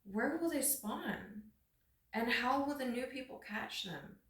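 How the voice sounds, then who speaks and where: A woman's voice: fairly high pitch, expressive, takes it slowly, a bit bright, pitched above the performer's own voice. A woman voicing a wise male raven who reasons out his opinion emphatically in a storybook reading, in an echoey room. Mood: firm, reasoning. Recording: roomy echo, distant microphone.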